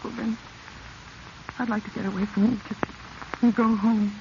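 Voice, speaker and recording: A woman's voice: fairly high pitch, flat delivery, moderate pace, creaky. An actress playing an earnest, proper young woman, a professor's daughter, in a 1940s radio drama. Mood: subdued. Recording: dull vintage radio recording, faint hiss.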